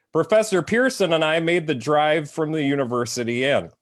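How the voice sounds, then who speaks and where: A man's voice: fairly high pitch, moderate pace, natural voice. A man playing an earnest radio reporter, announcing a strange scene in formal, florid language. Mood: dramatic, earnest. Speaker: cast member in an online script reading. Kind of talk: live radio-news dramatic reading.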